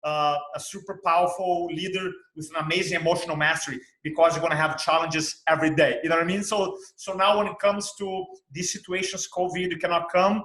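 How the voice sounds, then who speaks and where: A man's voice: fairly high pitch, flat delivery, fairly bright tone, reverberant, poor audio. A man from Brazil with a Brazilian Portuguese accent, speaking English as his third language in a casual interview, often restarting his phrases. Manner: halting, restarts phrases, then bursts of fast talk. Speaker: guest speaker coach.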